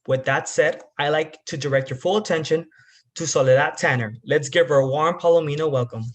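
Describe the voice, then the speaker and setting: A man reads a formal, prepared introduction of a guest speaker, his voice sitting slightly high for a man. He delivers it at a steady, measured pace. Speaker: event host introducing the speaker. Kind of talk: read introduction.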